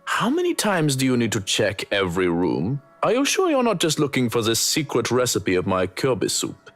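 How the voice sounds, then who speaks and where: An actor playing a fastidious, proud butler and cook in a visual novel game, dry and lecturing in manner. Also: mid-range voice, huge pitch swings, faint music behind. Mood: fussy, territorial.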